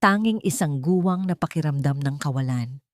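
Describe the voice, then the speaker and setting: A woman narrating a scripted dramatic audiobook story with solemn, deliberate phrasing. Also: low voice, animated, a little mellow, no echo.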